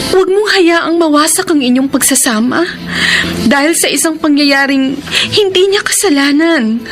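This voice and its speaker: An earnest loyal friend. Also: female voice, high voice. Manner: earnest, persuasive. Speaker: radio drama actor. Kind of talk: classic radio drama acting.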